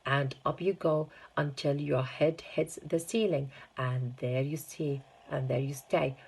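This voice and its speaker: Woman playing excitable husky chocolate factory owner. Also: deep voice, a little slow, full character voice. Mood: delighted, boastful. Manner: exclamatory, impatient, showing off. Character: excitable, brisk, boastful eccentric male chocolate factory owner.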